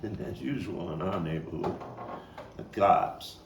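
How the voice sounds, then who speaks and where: A male actor plays a haunted Brooklyn man telling his boyhood story in a stage monologue. His lowish voice moves very slowly and haltingly, leaving heavy pauses. The recording is echoey, picked up at a distance from the mic. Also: expressive, gravelly, exaggerated voice. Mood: mournful, wistful. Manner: halting, deliberate, with repeats and weighty pauses. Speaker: actor and playwright reading his own monologue. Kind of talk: dramatic monologue at a table read. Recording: roomy, distant mic with faint background noise.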